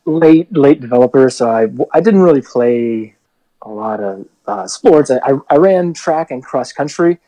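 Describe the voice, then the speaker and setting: A man's voice: mid-range voice, warm tone, dry recording, low-quality recording. A middle-aged American man telling his own story as a podcast guest in a casual, self-deprecating way. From United States military family, grew up in the Philippines and Alaska. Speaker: podcast guest, army officer and athlete.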